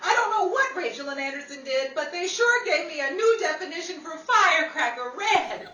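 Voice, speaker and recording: A woman's voice: extremely high pitch, lively intonation, moderate pace. A woman playing a bossy, scatterbrained 1930s newswoman in a comedic radio play, brash, exclamatory and wisecracking. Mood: exasperated. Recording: roomy distant mic, noisy audience hall.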